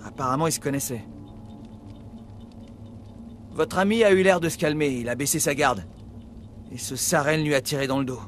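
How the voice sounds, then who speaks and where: An actor playing a frightened, cowardly human survivor in a video game, nervous and rambling. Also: male voice, on the high side, moderate pace, long pauses, soft background music, lightly acted. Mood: agitated, rattled. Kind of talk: dubbed video game cutscene acting.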